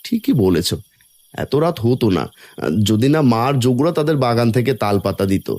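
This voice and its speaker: A man's voice: medium pitch, animated, normal speed, darkish tone, close to an everyday voice. A man voicing a humble, polite, poor village craftsman in a cartoon, with a modest, deferential manner. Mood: wistful. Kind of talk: Bengali cartoon voice acting.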